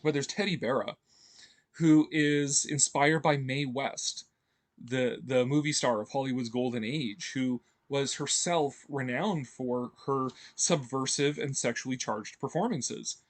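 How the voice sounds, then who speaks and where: A man's voice: medium pitch, unhurried. A man talking casually as a video host, rambling with many fillers and restarts and chuckling often. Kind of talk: casual enthusiastic commentary.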